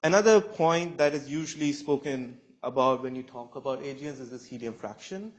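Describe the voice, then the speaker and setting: A man's voice: mid-range voice, fairly quick, low-quality recording. A man in his twenties, a student researcher presenting a scientific talk at a conference in a lecture room. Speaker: PhD student speaker.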